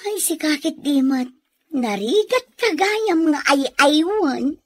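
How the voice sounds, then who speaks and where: A woman playing an excitable, nosy female friend in a radio comedy drama. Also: sits high, slow pace, dry recording. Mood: sympathetic.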